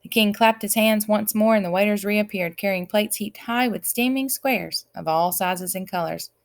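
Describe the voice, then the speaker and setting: A woman reading a children's story aloud as an expressive narrator. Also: medium pitch, normal speed, vocal fry. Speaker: audiobook reader.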